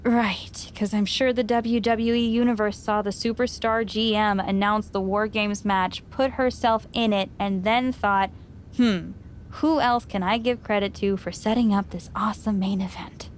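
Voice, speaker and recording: A woman's voice: medium pitch, steady tone, unhurried, a little hiss or hum, lightly acted. A woman voicing a wry female friend in a game, with teasing, dry sarcasm. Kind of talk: game cutscene dialogue.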